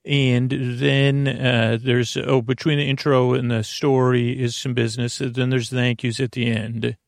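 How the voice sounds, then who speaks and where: A man with a gravelly, creaky voice hosts a sleep podcast, recorded close and dry. He talks in a halting, meandering monologue at an everyday middle pitch, wandering from one tangent to the next. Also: level tone, no room sound. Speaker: podcast host. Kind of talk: rambling bedtime podcast monologue.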